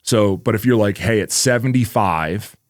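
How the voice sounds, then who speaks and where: A man's voice: lowish voice, normal speed, no room sound, clean studio sound. A man around forty co-hosting a podcast, talking casually in a rambling way with lots of "like" and freely dropped swear words. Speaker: podcast co-host. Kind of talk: casual chat.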